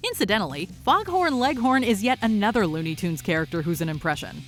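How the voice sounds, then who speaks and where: A woman's voice: mid-range voice, very animated, fast. A woman hosting and narrating a scripted countdown video. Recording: music behind the voice. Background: background music.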